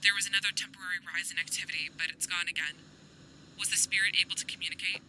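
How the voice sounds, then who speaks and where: Lady acting boss of a ghost hunter crew, really sharp bright voice, bit of noise behind, businesslike warnings. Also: on the low side, fairly even intonation, very fast, poor audio. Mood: cautioning. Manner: businesslike, cautioning. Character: watchful, commanding woman captain of ghost hunters. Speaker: team captain character. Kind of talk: audio roleplay acting.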